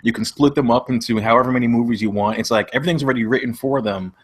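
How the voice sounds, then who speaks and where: A man in a casual panel discussion on a video call, giving his opinion in a hesitant, measured way. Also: medium pitch, fairly even intonation, husky. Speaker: podcast panelist.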